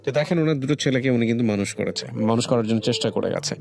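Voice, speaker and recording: A Bangladeshi man hosting a conversational life-story radio interview, speaking in a reflective, deliberate way with some English words mixed in. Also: mid-range voice, gravelly. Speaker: radio show host. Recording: music under the voice. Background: background music.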